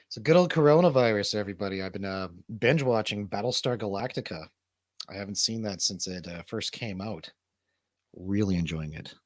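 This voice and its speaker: A man's voice: lowish voice, moderate pace, no echo, clean studio sound. A man hosting a casual livestream chat, laid-back, slangy and rambling. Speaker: host of a livestream show.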